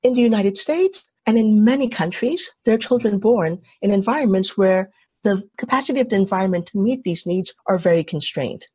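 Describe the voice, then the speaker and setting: A woman's voice: mid-range voice, animated, fairly quick, a little mellow, slightly rough. A woman from Trinidad leading an online workshop, putting questions to the group and explaining in an engaging way over a video call. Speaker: workshop facilitator.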